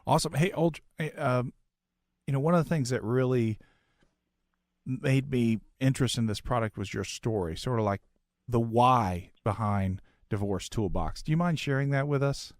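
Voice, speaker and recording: A man's voice: medium pitch, normal speed, no room sound, studio quality. A man hosting a radio interview in a conversational style. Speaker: radio show host.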